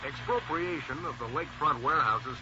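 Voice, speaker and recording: An actor playing a formal, measured radio newsreader heard through a shortwave radio effect in a drama. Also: male voice, fairly high pitch. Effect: shortwave radio filter with static. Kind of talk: news bulletin inside a radio drama. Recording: dull, very noisy shortwave radio.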